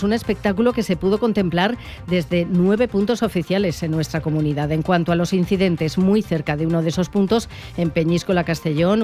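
High-pitched Spanish male news presenter. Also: darkish tone. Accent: Spain. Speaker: regional radio news presenter. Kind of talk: scripted news bulletin. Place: radio studio.

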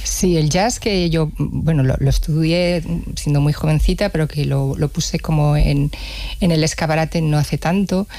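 A woman's voice: low voice, normal speed, dark tone, no room sound. A woman with an accent from Spain, a musician giving reflective, conversational interview answers and rephrasing as she goes. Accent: Spanish from Spain.

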